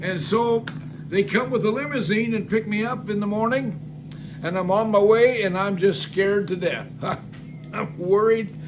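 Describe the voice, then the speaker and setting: An older man tells a story from his own life in a loose, folksy way, stopping for plenty of ums and restarts. His voice sits high for a man. A steady hiss of noise runs under the recording. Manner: rambling, folksy, many fillers and restarts. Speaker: singer telling life stories. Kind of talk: casual spoken testimony.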